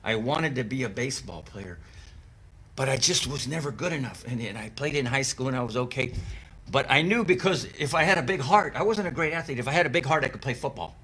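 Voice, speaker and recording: A man's voice: medium pitch, expressive, brisk, bright, forceful tone, breathy edge. A man hosting a sports interview, eager and enthusiastic, often repeating words as he talks. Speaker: interview host. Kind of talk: casual interview chat.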